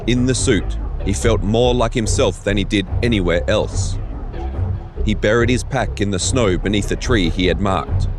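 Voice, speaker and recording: Low-voiced man narrating an audio drama. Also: moderate pace, music in the background.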